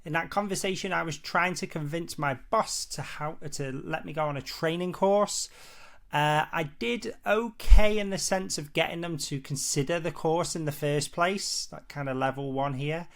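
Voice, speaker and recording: A man's voice: on the high side, moderate pace, vocal fry, a bit bright. A man presenting a roleplay training demo, talking casually and explanatorily with a conciliatory, somewhat hesitant way of phrasing things.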